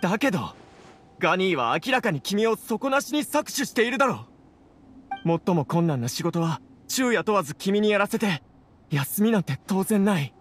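A man's voice: high voice, sing-song, moderate pace. A man playing an earnest, troubled young scholar with polite speech in a Japanese game. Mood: indignant. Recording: clear background noise, insect ambience.

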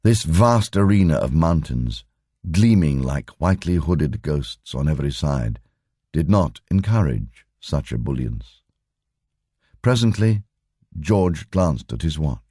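A man reads a story aloud in a very deep, dry voice. His pitch still moves lively through the sentences, and the delivery is steady and measured. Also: slightly rough, no echo. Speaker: audiobook narrator. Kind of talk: scripted narration.

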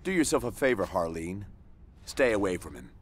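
An actor playing a stern, commanding armored genius in a video game cutscene, speaking tersely. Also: male voice, deep voice, big pitch swings, moderate pace, slight background noise. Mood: firm. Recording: loud music underneath.

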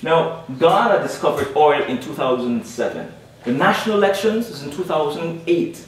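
A man's voice: fairly high pitch, lively intonation, moderate pace. A young man from Guyana giving an academic panel presentation, emphatic and repeating key points with frequent "all right?" check-ins. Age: young adult. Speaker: panel speaker. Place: lecture room at a panel event. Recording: very echoey room audio from far off with faint noise.

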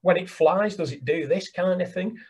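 An older Englishman talks over a laptop video call from a small room at home, his voice husky and a touch high. He speaks with eager energy, gesturing and doubling words as he goes. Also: normal speed. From England. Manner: enthusiastic, gesturing, repeats words. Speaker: birdwatching guide. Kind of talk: casual video call chat. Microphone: webcam on a video call.

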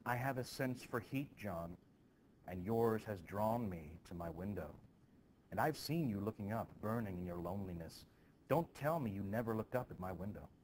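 A man's voice: fairly low pitch, animated, moderate pace, darkish tone, a little hiss or hum, lightly acted. A man voicing a lovesick, defiant young woman from a stage play, pleading dramatically. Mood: yearning.

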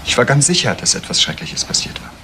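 A man's voice: medium pitch, sing-song, quick pace, bright, forceful tone, a little hiss or hum. A man playing a shaken, disbelieving husband of a murdered woman in a German crime radio play, on an old recording.

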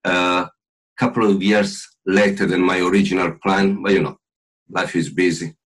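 Italian man, low husky voice. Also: steady tone, fairly bright tone. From Italy. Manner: jokey, chatty, with filler pauses. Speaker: podcast guest. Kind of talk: casual interview talk. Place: home room, indoors. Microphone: video call.

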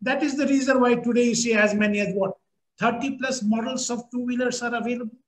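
A man in his fifties with an Indian accent and a high-pitched voice. He talks as a government panelist on a video call, restating points with emphasis. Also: fairly even intonation, quick pace. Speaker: government policy official, panelist. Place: small office, indoors. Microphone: video call, computer mic at desk.

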